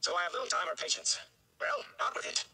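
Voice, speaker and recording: A man's voice: fast, sharp tone. A man voicing a flustered, brusque, commanding middle-aged village mayor in a storybook, barking urgently. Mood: impatient.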